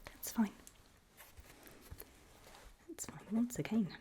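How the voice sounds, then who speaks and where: Whispering, slow-paced ASMR woman. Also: fairly high pitch, slight background noise. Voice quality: breathy, mostly unvoiced whisper. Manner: gentle, reassuring, unhurried, halting short phrases. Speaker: ASMR performer role-playing a doctor giving a memory test. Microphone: close ASMR microphone.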